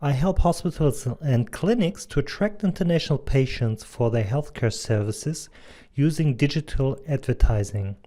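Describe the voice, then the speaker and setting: A man in his thirties with a German accent, giving an earnest, measured presentation as a doctor and advertising consultant. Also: medium pitch, animated, warm tone. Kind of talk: scripted presentation voice-over.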